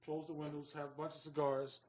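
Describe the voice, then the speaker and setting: A man on a talk radio panel discussing things casually and haltingly, often repeating his phrases. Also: on the high side, flat delivery, muffled recording, crowd noise. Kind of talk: casual current-affairs discussion.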